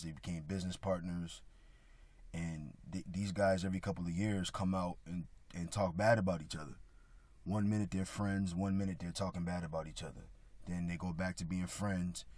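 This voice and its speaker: A man with a slightly raspy, hoarse voice giving a casual religious talk, halting with many restarts and frequent throat clearing. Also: deep voice, a little mellow. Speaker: religious video speaker.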